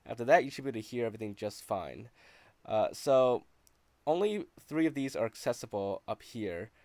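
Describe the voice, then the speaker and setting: A man's voice: mid-range voice, animated, normal speed, a little mellow, dry recording. A man narrating a video game walkthrough in a casual, conversational, explanatory way.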